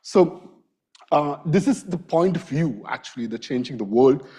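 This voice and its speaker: A middle-aged man gives an informal, self-deprecating lecture in a hall. His voice is raspy and husky from a bad cold. It sits a little high and sounds dark and mellow. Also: expressive, measured pace. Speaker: invited lecturer, wildlife vet. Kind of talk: academic lecture.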